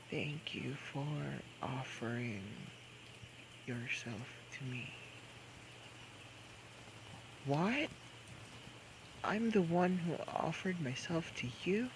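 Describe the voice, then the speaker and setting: A drunk young boyfriend, acted by a man, clingy and playful in an intimate ASMR roleplay. His voice sits a little high and moves very slowly, slurring and coaxing as it stumbles along. The homemade recording has clear background noise. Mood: surprised, flustered. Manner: slurred, drawn-out, coaxing, halting drunken talk. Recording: noisy homemade microphone recording.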